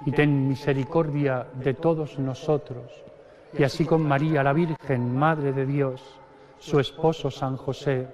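A middle-aged man from Spain, a priest slowly and solemnly reciting the prayers of the Mass at the altar. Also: medium pitch, steady tone, a crowd in the background. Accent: Spanish (Spain). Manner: slow solemn liturgical recitation, parts chanted. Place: large stone cathedral. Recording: long cathedral reverb.

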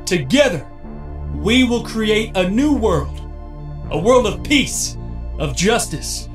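A grandiose cult leader played by a man preaches in a high-pitched voice with deliberate, persuasive phrasing. It is an audio drama speech, echoing in the room over soft music. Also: sing-song, exaggerated voice. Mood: solemn, fervent. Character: male cult leader preaching solemnly to followers. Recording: echoey hall with music behind.

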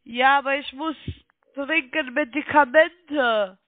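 A high-pitched woman with a foreign accent makes a telephone sales pitch as a non-native speaker. She sounds congested with a cold. Her voice comes over a thin phone line. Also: slow, dry recording. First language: not German. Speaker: call center trading sales caller. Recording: muffled band-limited phone line.

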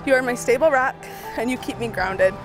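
A young woman with a high voice speaks her wedding vows tenderly and from the heart. Music sits clearly behind her words, as in a wedding film. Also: brisk, rough recording. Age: young adult. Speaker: bride. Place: outdoor wedding ceremony. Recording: music under the voice.

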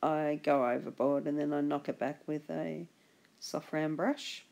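A woman giving a relaxed drawing tutorial as a voice-over, husky from a chest infection, chatty and encouraging. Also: sits low, a little slow, muffled recording. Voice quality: husky, gravelly. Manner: chatty, encouraging, trails off and restarts. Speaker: art instructor.

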